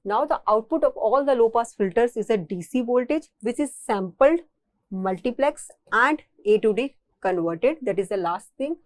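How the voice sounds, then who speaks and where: A woman's voice: fairly high pitch, expressive, normal speed, no echo. A woman with an Indian accent giving a technical engineering lecture, explaining step by step and often repeating and rephrasing her phrases.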